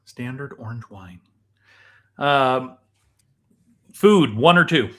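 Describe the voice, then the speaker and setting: A man's voice: medium pitch, animated, slow, pushed and bright. A man co-hosting a show in casual chat as he tries snacks and drinks.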